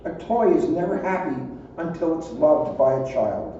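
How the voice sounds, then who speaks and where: A man's voice: a little high, animated, normal speed, mellow, very echoey, far from the mic, highs cut off, a little hiss or hum, lightly acted. A man voicing a solemn, pleading king of a toy island in a storybook reading.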